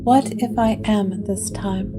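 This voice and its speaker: A haunted ancient woman speaks slowly and falteringly, her voice fragile and hushed, as a woman plays her. Guitar music runs underneath. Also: mid-range voice, steady tone, natural voice.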